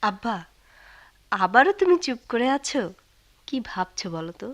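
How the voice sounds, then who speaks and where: An actress playing a caring, anxious young daughter in a radio drama, speaking in a pleading, solicitous way. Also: female voice, a little high, sing-song, moderate pace.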